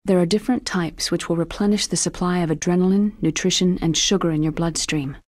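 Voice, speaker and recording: An actress playing a cool, brisk military scientist in a video game, explaining things in a matter-of-fact, clinical manner. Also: female voice, on the low side, fairly even intonation, a little mellow, dry recording, studio quality, lightly acted. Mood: cool, informative. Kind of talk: video game cutscene acting.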